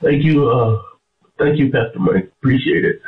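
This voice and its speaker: A man's voice: mid-range voice, moderate pace. A man calling in to a prayer show, sick with a cough and stuffy nose and losing his voice, repeating a prayer phrase by phrase. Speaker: regular caller. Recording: phone line.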